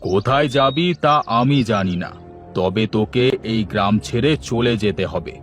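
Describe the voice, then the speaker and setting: A man's voice: fairly low pitch, a little slow, soft background music, natural voice. A man voicing a harsh village headman who gives curt, menacing orders. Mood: threatening.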